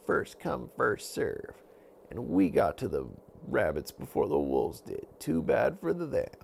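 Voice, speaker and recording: A pesky, defiant witch from a bedtime tale, performed by a man. The words are stretched out and taunting, with wide swoops in pitch. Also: huge pitch swings, creaky, a little mellow, slight background noise, full character voice. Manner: taunting, drawn-out. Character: pesky, defiant food-stealing witches in a children's story.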